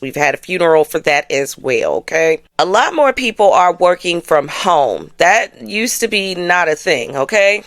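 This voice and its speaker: An American woman hosts an astrology show in a fairly low voice that swings expressively up and down. She talks straight to her viewers in slangy, emphatic, colloquial phrases. The sound is dry and close. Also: fairly bright tone, dry recording. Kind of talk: casual astrology commentary to viewers.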